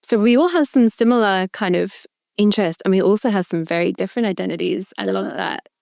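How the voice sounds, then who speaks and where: A woman's voice: medium pitch, vocal fry, darkish tone, dry recording, clean studio sound. Upbeat young woman hosting a podcast. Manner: upbeat, brisk introductions. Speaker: host.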